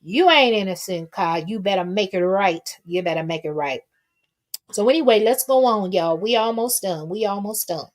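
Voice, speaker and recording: A woman's voice: medium pitch, expressive, normal speed. A woman hosting casual gossip commentary, talking to her viewers in a sassy, folksy, emphatic way and repeating phrases for effect. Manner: folksy, colloquial, addresses listeners with pet names. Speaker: host of a commentary livestream.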